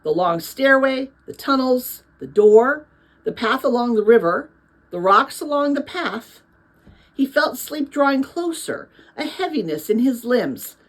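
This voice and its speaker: A woman reads a story aloud as narrator. Her voice is fairly high, with expressive, wide-ranging intonation. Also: unhurried, poor audio. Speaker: audiobook reader.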